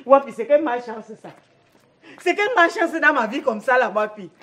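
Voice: medium pitch, expressive, moderate pace, sharp tone. A performer with a Cameroonian accent playing a furious, frantic middle-aged mother in a family drama.

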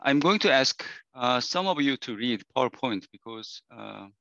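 Hoarse professor, bright lo-fi call voice. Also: male voice, medium pitch, lively intonation, no room sound. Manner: halting, repeats phrases, many fillers. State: hoarse, losing his voice. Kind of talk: online university lecture.

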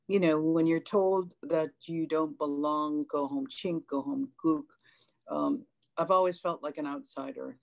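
A woman's voice: extremely low pitch, vocal fry, darkish tone. An older woman whose first language is Chinese, telling her story as a panelist over a video call, earnest, with frequent hesitations and restarts. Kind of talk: personal account on a panel. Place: home room, indoors.

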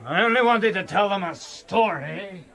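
Bright, pleading down-and-out. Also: male voice, high voice, animated, normal speed, gravelly, heavily acted. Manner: pleading, harmless. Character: middle-aged, broken-minded down-and-out begging for ale. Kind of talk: radio drama dialogue.

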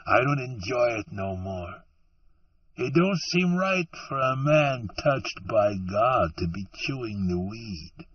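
A man voicing an ashamed, frightened drifter who pleads slowly in rustic grammar, close to tears. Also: mid-range voice, animated, no room sound, distant mic, highs cut off. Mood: pleading. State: tearful.

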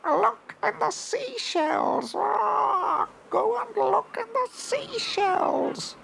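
A man voicing a shrieking seabird with slow, drawn-out calls in a storybook tale. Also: very high-pitched, animated, gravelly, a bit bright, a little hiss or hum, voice pushed up, heavily acted, low-quality recording. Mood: urgent, calling. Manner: drawn-out, repetitive calling. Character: shrieking seabird calling out magical advice.

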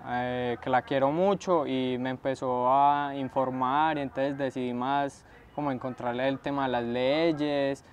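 A young Colombian man speaks off the cuff in an interview outdoors. He is a student leader with a medium-pitched voice. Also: steady tone, measured pace, hardly any pauses, highs cut off, slight background noise. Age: young adult. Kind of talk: unscripted interview testimony. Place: outdoors on school steps.

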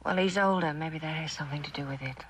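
An actress playing a lonely, neglected wife in a mid-century television drama, plaintive and halting, with a bitter edge. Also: female voice, low voice, slightly rough. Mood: quiet, drowsy.

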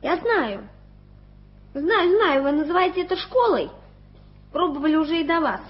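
An actress playing a stubborn, suspicious young street waif in a radio play, talking bluntly and defiantly. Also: female voice, high voice, big pitch swings, normal speed. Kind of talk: 1980s radio play acting. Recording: dull lo-fi radio play recording.

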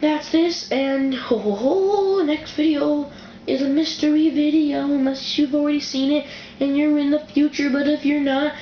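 A woman's voice: high-pitched, moderate pace. A woman reviewing a building toy she built, speaking in a casual, rambling way from off camera, often correcting herself mid-sentence. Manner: rambling, self-correcting, excitable. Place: indoor room with a wooden floor, off camera. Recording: dull, echoey home camera recording with faint hiss.

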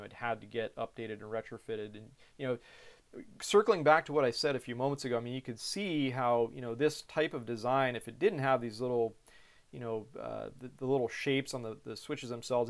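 Casual American man explaining cockpit switches. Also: medium pitch. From United States. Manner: casual, many fillers and repeated words. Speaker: pilot instructor. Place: small room indoors.

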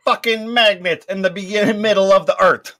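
A man's voice: high voice, fairly even intonation, normal speed, pushed and bright. A man bantering casually as a panelist on a live comedy podcast.

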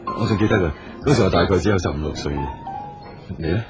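A man's voice: low-pitched, quick pace, lightly acted. A man with a Hong Kong Cantonese accent acting a polite, earnest, persistent businessman in a radio drama. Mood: attentive, nervous. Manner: polite, solicitous. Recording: dull audio with music underneath.

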